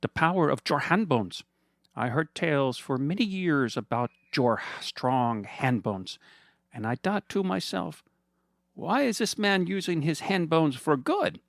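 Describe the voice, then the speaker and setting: A man's voice: on the high side, expressive, measured pace, gravelly, no echo, pitched above the performer's own voice. Brief: preening villain with a raised, lilting voice, very dry close sound, drawls and purrs with mock sweetness, a man putting it on. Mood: smug. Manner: theatrical, purring, condescending. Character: smug villainous male hand model. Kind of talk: comedic storytelling voice.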